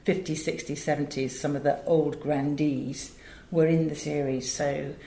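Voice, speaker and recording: A woman's voice: low-pitched, little pitch movement, measured pace, dark tone, a little hiss or hum. A middle-aged English woman talking as an interview guest, chatty, articulate and given to digressions as she tells stories. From England. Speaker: interview guest, voice director and radio producer. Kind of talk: conversational interview, storytelling. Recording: echoey, roomy sound.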